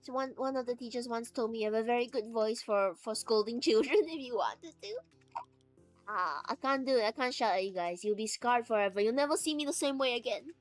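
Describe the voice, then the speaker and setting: A woman's voice: on the high side, a little fast, faint music behind. A woman streamer chatting casually, laughing often and acting out little quoted voices.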